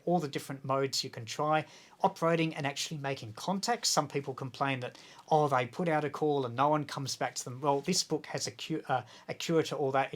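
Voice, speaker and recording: Male interview guest, Australian accent, middle-pitched voice, keen hands-on explainer in relaxed conversation. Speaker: guest, amateur radio video maker and author. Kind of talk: casual interview, explanatory chat.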